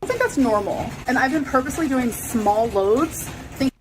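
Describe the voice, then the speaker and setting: A woman's voice: sits high, expressive, moderate pace, background noise. A woman vlogger chatting casually to her viewers and answering their questions.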